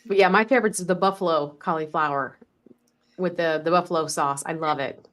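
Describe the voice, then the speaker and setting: A woman's voice: deep voice, fairly bright tone. Enthusiastic woman hosting a chatty podcast. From American South. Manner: enthusiastic, quick affirmations. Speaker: podcast host. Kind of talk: casual interview chat.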